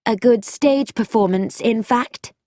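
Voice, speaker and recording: A woman doing a sharp, crisp young noblewoman. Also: high-pitched, expressive, moderate pace, dry recording, studio quality, pitched above the performer's own voice. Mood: sharp, pointed. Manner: crisp, pointed, edgy.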